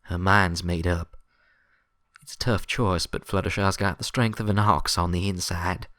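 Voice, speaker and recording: A man's voice: low-pitched, fairly even intonation, normal speed, sharp tone, dry recording, studio quality. A man voicing a stoic female farmer pony in a story, grim and plain-spoken with a folksy manner.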